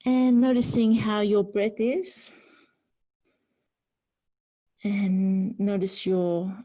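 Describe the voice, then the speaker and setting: A woman teaching a yoga class, giving gentle, unhurried guidance with pauses between phrases. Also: mid-range voice, fairly even intonation. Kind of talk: guided yoga class instruction. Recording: slightly dull, lo-fi sound.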